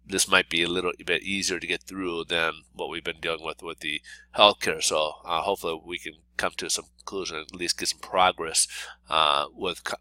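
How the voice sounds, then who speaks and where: A man's voice: sits low, bright, forceful tone, smooth, no echo, rough recording. A man with a cold and a congested voice, giving a casual daily market report with lots of fillers and restarts. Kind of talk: unscripted solo market commentary over slides.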